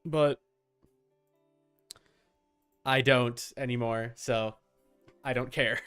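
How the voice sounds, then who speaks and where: A man's voice: mid-range voice, steady tone, very slow, fairly bright tone, clear, resonant tone, no room sound, soft background music. A man chatting casually and swearing as he livestreams while setting up a game character. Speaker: streamer.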